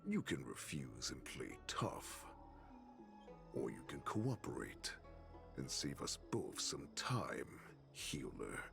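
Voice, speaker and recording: An actor playing a centuries-old wolfish warlord in a video game, a menacing, sadistic predator who savors each threat theatrically in a gravelly voice. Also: male voice, big pitch swings, slow, exaggerated voice. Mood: coldly threatening. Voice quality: very deep, gravelly. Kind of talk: dramatic video game voice acting. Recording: game music behind the voice.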